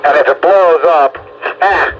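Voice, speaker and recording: A man's voice: fairly high pitch, a little slow, muffled recording. A man chatting casually and teasingly as an amateur radio operator over two-way radio. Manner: joking, teasing. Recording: very noisy two-way radio transmission.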